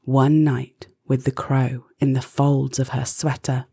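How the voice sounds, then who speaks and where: A woman narrating a rhyming children's storybook expressively, with deliberate, dramatic phrasing. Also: extremely low pitch, flat delivery, creaky, warm tone, husky, no room sound, clean studio sound.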